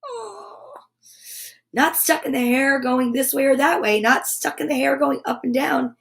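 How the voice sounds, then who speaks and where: High-voiced, slow-paced woman reading to children. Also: animated, creaky. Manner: playful, drawn-out sound effects and repeated words. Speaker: children's storytime host. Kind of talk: animated picture-book read-aloud for children.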